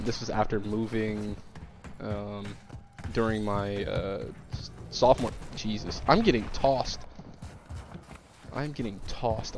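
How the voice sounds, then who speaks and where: A man's voice: fairly low pitch, darkish tone, slight background noise. A young man with a nasal, congested voice, chatting casually while playing a shooter, rambling with many fillers and restarts. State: congested from sickness. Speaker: gaming streamer.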